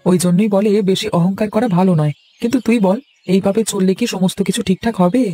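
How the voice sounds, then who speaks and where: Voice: mid-range voice, steady tone, fast talker, dark tone, slightly rough, dry recording, soft background music, close to an everyday voice. A performer playing an earnest, supportive young village boy in a cartoon fairy tale. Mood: earnest, encouraging. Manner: earnest, agreeable, encouraging.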